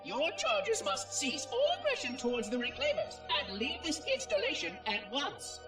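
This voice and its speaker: Prim imperious robot caretaker, high, very swoopy intonation, officious, sci-fi game. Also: male voice, huge pitch swings, normal speed, exaggerated voice. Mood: scolding. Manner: prim, formal, scolding. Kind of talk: game cutscene acting. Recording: echoey, distant, loud music behind.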